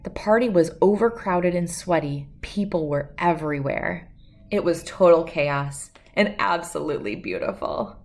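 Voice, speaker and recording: A woman reading a children's picture book aloud as a first-person story, with expressive, clearly phrased narration. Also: fairly low pitch, normal speed.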